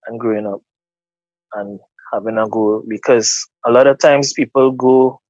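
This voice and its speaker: A man's voice: mid-range voice, fairly even intonation, a little slow, darkish tone, no room sound, dull audio. A man with a Trinidadian accent speaking earnestly and casually in an interview, often repeating words as he gathers his thoughts. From Trinidad and Tobago. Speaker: guest.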